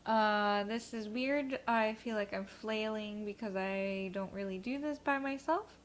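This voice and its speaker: Slow, hesitant woman, stuffy. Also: a little high, fairly bright tone, slight background noise. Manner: hesitant, many ums and likes. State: stuffy from allergies. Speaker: vlogcast co-host. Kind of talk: rambling casual chat.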